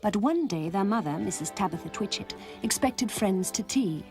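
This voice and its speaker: A woman narrating a gentle scripted children's storybook tale. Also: mid-range voice, animated, moderate pace, natural voice. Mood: gentle storytelling. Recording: loud music behind the voice.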